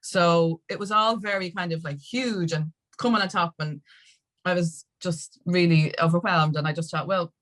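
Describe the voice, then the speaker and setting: A woman's voice: fairly low pitch, steady tone, moderate pace. An Irish woman telling her story as a podcast guest in casual conversation, acting out what people said as she goes. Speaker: podcast guest, music industry activist.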